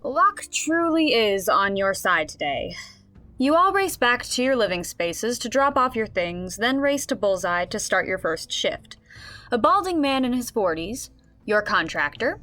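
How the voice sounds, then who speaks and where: A woman playing an expressive game master, narrating a fantasy story in a scripted radio drama. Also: fairly high pitch, a little slow, exaggerated voice. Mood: storytelling.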